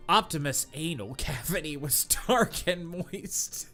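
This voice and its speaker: A man's voice: on the high side, animated, takes it slowly, music in the background. A man hosting a comedy read-aloud, stumbling over clumsy prose with incredulous, mocking asides and a tendency to crack up. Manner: breaks into laughter and stumbles while reading. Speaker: podcast host reading aloud. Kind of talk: comic read-aloud of bad fan fiction with asides.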